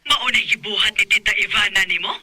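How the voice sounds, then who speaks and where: A man's voice: sits high, fast talker, sharp tone, faint music behind, rough recording. A man playing a supportive mother in a radio drama, reassuring, with a Visayan Filipino accent. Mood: concerned, reassuring. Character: sympathetic, supportive mother working away from home.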